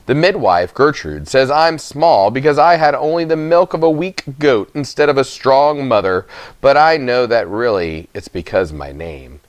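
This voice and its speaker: A man giving an expressive, dramatic children's audiobook narration, with emphatic pauses. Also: mid-range voice, dry recording. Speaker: story reader.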